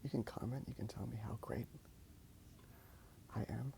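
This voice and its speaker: A man whispering softly in a breathy, gravelly voice, rambling casually and a little hesitantly in an ASMR style. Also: mid-range voice, moderate pace, a little hiss or hum. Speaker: ASMR video creator. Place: outdoors in a park.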